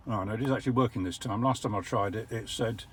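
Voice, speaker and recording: An older English man explaining how an electric car works from the driver's seat, measured and a little wry. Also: lowish voice, slightly rough. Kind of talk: casual vlog commentary. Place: parked car.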